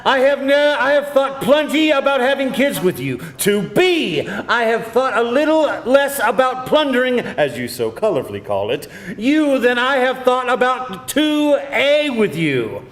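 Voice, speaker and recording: A man's voice: very high voice, sing-song, a little slow, hardly any pauses, a bit bright, exaggerated voice. A man playing a flustered, insecure boyfriend in a live screenplay table read, with an incredulous, emphatic delivery. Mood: indignant. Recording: echoey room, live reading.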